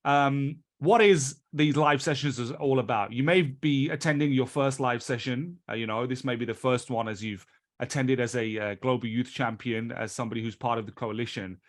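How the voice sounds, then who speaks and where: A British man runs a live online training session, talking into a computer mic on a video call. His voice sits at a mid pitch, and his delivery is chatty and loose, often circling back to restart a phrase before carrying on. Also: fairly even intonation, normal speed.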